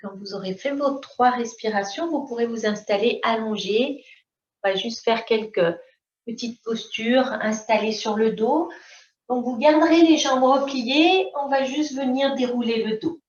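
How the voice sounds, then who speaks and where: A woman teaching yoga, giving calm, unhurried guidance in short phrases. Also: on the high side. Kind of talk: guided yoga and relaxation instruction. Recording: roomy, distant mic, dull sound.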